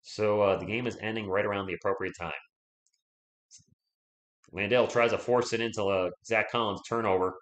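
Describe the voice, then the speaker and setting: A man's voice: fairly low pitch, steady tone, a little fast, pauses often, roomy sound. A man hosting a live fan play-by-play stream, chatty and loose, reading viewer comments and rooting for his team while losing his voice.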